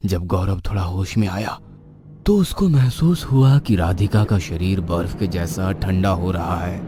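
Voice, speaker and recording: A man narrating an animated horror story in an expressive, suspenseful way. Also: low voice. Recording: background music.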